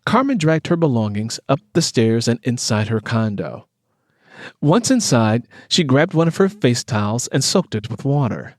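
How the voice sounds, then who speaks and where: A man's voice: mid-range voice, very animated, moderate pace, dry recording, studio quality. A man reading scripted fiction as an audiobook narrator.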